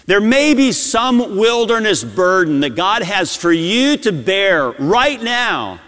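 A man preaching a sermon as a pastor, his delivery swinging between loud urgency and hushed intensity. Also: high-pitched, sing-song, takes it slowly. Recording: long hall reverb.

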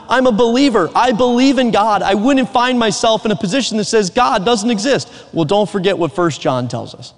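A man with a Southern American accent preaches in a church, his high voice carried by a long hall reverb. He is earnest and emphatic, breaking now and then into a joking aside. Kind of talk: sermon. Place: church sanctuary.

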